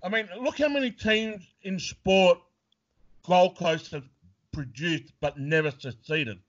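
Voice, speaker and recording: Australian host, fairly high voice, lo-fi. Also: male voice, takes it slowly. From New South Wales, Australia. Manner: relaxed, friendly, stumbling questioner. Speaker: podcast host. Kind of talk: casual video call interview. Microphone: video call. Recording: dull lo-fi call audio.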